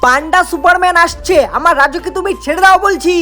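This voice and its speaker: A woman voicing all the characters of a cartoon story, playful and quick to switch between childlike figures. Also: extremely high pitch. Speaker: cartoon voice artist and channel narrator. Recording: over loud background music.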